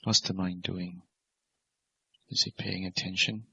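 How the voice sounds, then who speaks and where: A man teaching guided meditation as a Buddhist monk, speaking in measured, simple phrases and gentle questions. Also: deep voice, level tone, creaky, breathy edge. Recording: dull, lo-fi recording.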